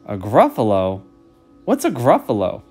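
A man's voice: fairly low pitch, huge pitch swings, measured pace, mellow, breathy edge, soft background music, full character voice. A man voicing a sly, hungry fox in a storybook read-aloud, with a coaxing tone.

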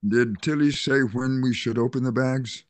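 An older man reading a kindly small-town male pastor in an online play reading, soothing and measured, over a video call. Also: mid-range voice, fairly even intonation, no echo, lightly acted. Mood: calm. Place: home room on a video call. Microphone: video call computer mic.

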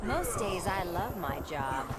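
An actress voicing a sly, cool-headed cat burglar in a video game, wry, teasing and controlled. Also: female voice, fairly low pitch, very animated, slow pace, very noisy. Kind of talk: video game cutscene acting. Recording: loud music behind the voice.

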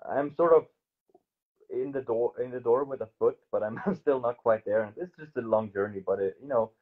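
A man's voice: medium pitch, normal speed, husky. A young man in his twenties with a German accent, a native German speaker from South Tyrol, talking casually as an artist guest over a video call, hesitant with many fillers. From South Tyrol, Italy. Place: small indoor room, sofa. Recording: phone-like narrow audio.

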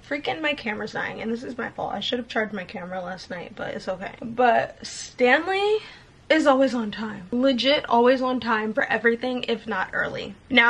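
A woman's voice: on the high side, a bit bright. Chatty upbeat woman in her late twenties. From United States. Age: late 20s. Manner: chatty and upbeat, talking while gluing on lashes. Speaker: beauty vlogger. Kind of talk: casual chat to camera while doing makeup. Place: bedroom, indoors. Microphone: camera on desk facing her.

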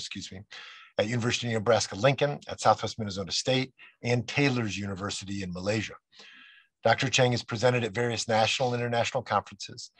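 A man in his forties reads a guest's introduction over a video call from his office. His voice sits a little low, with a husky edge. The sound is dry and close, and he pauses on frequent "uh" fillers. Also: a bit bright, no echo. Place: office with bookshelves.

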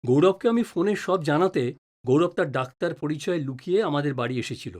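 A man's voice: a little high, fast talker, darkish tone, natural voice. An actor playing a middle-aged husband and father in an audio drama, earnest, concerned and somewhat defensive.

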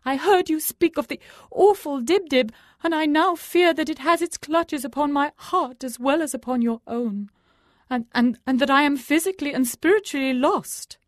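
A woman's voice: high-pitched, moderate pace, no room sound, studio quality, voice pushed up, lightly acted. A woman voicing a pompous, self-important male holy man who speaks solemnly. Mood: faltering.

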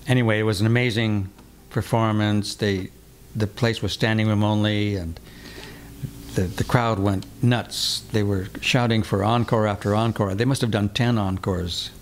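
An older man, a guest musician, telling relaxed stories with dry puns in a living room. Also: on the low side, moderate pace. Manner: relaxed, wry, punning. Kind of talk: conversational storytelling.

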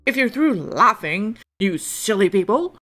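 A woman's voice: medium pitch, sing-song, unhurried, music in the background. Woman putting on a grumpy, snarling storybook hare. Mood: angry, impatient. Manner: snarling, impatient. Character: grumpy, rude male hare.

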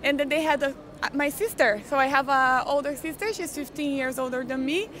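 Lively woman, high and bright. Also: fairly quick, a little hiss or hum. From Brazil. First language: Portuguese. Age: 20s. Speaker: interviewee. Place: outdoors on a busy city street at night. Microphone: handheld mic.

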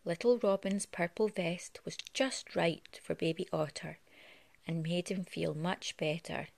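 A woman reading a children's story aloud in an expressive way, like a parent at storytime. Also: on the low side, unhurried, vocal fry. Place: living room at home.

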